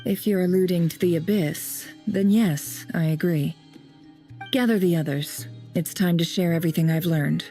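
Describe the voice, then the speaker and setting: An actress voicing a cool, cerebral woman investigator in a video game, composed, deliberate and formal as she tells things. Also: on the low side, dark tone, faint music behind, lightly acted. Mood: composed, authoritative. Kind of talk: scripted game voice acting, measured storytelling.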